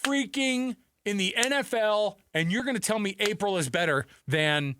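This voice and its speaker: A man's voice: sits high, animated, moderate pace, noisy background. A man hosting a sports radio show, arguing his points emphatically in casual, bantering debate. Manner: argumentative, emphatic.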